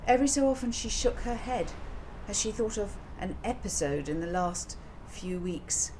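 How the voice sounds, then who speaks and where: A woman reading a children's novel aloud in a measured, expressive storytelling style. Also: on the low side. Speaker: author reading her own children's novel. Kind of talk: audiobook storytelling narration. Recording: clear background noise.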